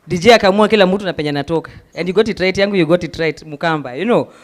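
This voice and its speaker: A Kenyan man with a high, bright-sounding voice leads a forum discussion. He is animated, repeats himself as he builds a point, and drops in Swahili phrases as he moderates. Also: rapid-fire. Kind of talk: panel moderation at a town hall. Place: university hall forum.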